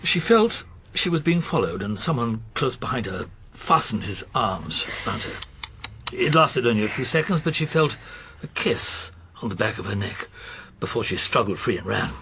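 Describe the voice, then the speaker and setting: An actor playing a diffident middle-aged English country solicitor in a radio drama, formal and hesitant. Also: male voice, lowish voice, big pitch swings, normal speed, bright, forceful tone, a little hiss or hum, full character voice. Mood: grave, formal. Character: fresh-faced, grey-haired, hesitant male country solicitor.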